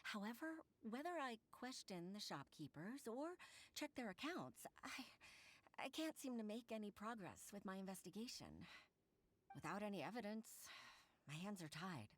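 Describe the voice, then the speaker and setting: An actress voicing an earnest, somewhat weary young clerk in a video game. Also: female voice, medium pitch, sing-song, normal speed. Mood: troubled.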